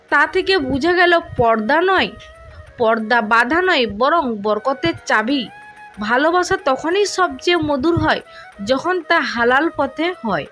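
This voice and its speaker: A woman's voice: sits high, a bit bright. A woman storyteller reading a scripted romantic tale.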